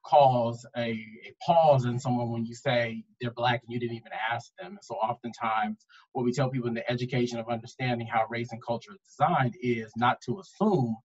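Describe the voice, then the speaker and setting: A man speaking earnestly as a panelist in a webinar discussion, explaining at length with pauses. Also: mid-range voice, animated, slightly rough, highs cut off. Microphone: video call.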